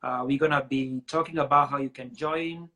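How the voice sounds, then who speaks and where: A man's voice: medium pitch, fairly even intonation, moderate pace, sharp tone. A man hosting a casual live-stream chat, greeting viewers and talking with many filler sounds. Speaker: show host.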